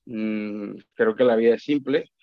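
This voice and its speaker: A man's voice: on the low side, measured pace, dry recording. A Colombian man in his thirties, speaking as a podcast guest with a Colombian Spanish accent. He talks reflectively, stopping to repeat and restart words. The recording is dull and lo-fi, with a dry, close sound. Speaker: guest, account manager. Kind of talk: casual podcast conversation.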